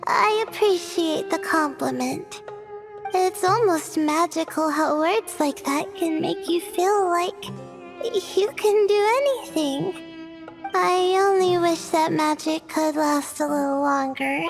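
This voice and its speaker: Timid, very high-voiced student girl. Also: takes it slowly, in a large hall, faint music behind, exaggerated voice. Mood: wistful, shy. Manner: hesitant, soft-spoken, self-doubting. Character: timid, anxious, sleepy teenage girl student, modest. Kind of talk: English game dub voice acting.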